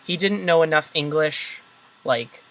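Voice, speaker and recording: A man hosts a casual chat, talking hesitantly and searching for his words. His voice sits a little high, and he speaks slowly with many pauses. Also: fairly bright tone, slight background noise, low-quality recording. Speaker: video maker, host. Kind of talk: casual conversation.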